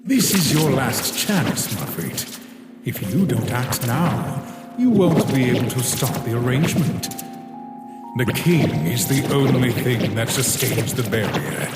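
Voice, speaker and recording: A man playing a calculating male schemer with a deliberate, persuasive, theatrical manner in a comic dub. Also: mid-range voice, big pitch swings. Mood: coaxing. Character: manipulative male schemer urging a warrior, grave and calculating. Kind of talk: dramatic comic dub voice acting. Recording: echoey reverberant sound under loud music.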